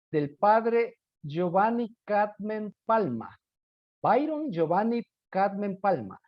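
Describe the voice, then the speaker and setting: Man, Ecuadorian accent, radio host, high-pitched voice, formal and courteous, dry slightly dull lo-fi sound. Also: dry recording. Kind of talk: scripted radio presenting and interviewing.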